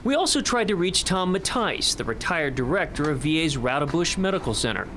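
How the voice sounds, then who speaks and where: Higher-pitched American male news reporter. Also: sing-song, brisk, fairly bright tone, a little hiss or hum. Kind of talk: scripted news narration.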